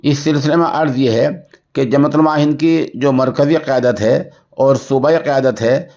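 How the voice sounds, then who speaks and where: A man presenting news and commentary in a formal, earnest, deliberate manner. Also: medium pitch, steady tone, a little mellow. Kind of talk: news report with commentary, formal Urdu register. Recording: dull, lo-fi voice recording.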